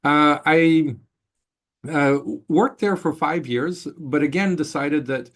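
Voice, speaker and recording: A man, born in Brazil, giving an online finance masterclass as a professor, speaking haltingly with many fillers and restarts. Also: on the high side.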